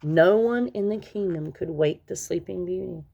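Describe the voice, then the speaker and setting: A woman narrates a children's storybook in a slightly low voice. She reads aloud expressively, putting weight on important words as a storyteller does. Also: moderate pace, gravelly, mellow, dull audio. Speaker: storybook reader.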